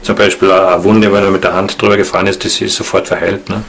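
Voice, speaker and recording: A man speaks informally in an interview with a low, creaky voice. His pitch stays flat, and there is faint noise in the recording. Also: fast talker.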